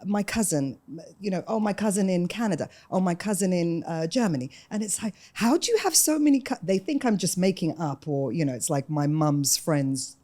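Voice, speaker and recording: A woman's voice: fairly low pitch, animated, normal speed, mellow. A woman in her forties with a London accent, chatting casually and telling personal stories as a podcast guest. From London, UK, of Sudanese parents. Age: 40s.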